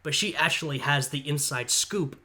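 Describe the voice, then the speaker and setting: A man's voice: on the high side, moderate pace, bright, forceful tone. A congested man, a history student, giving casual reaction commentary and thinking aloud with frequent hedges. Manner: thinking aloud, hedging and musing.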